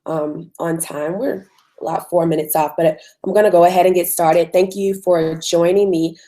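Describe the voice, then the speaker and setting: A woman with a hoarse voice from a cold, hosting a live online webinar in a conversational way with frequent ums. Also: on the low side, steady tone, normal speed, dark tone. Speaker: webinar host.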